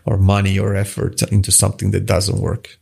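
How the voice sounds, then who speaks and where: A man's voice: low-pitched, monotone, a little fast, creaky, darkish tone, slightly rough. A middle-aged man from Croatia, a native Croatian speaker, answering interview questions in a hesitant, casual way with many restarts. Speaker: guest, watch face designer.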